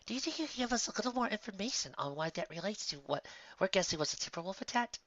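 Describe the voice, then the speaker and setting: A man's voice: high voice, expressive, fast, a bit bright, no room sound, muffled recording, voice pushed up. A man voicing a concerned female nurse pony with a caring, professional manner in an amateur story reading. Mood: kindly, inquiring.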